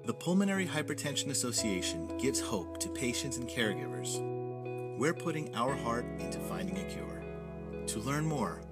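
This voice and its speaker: A man reading a health charity ad as a gentle voice-over. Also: medium pitch, big pitch swings, normal speed. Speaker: ad announcer. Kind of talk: public service ad voice-over. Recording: loud guitar music bed.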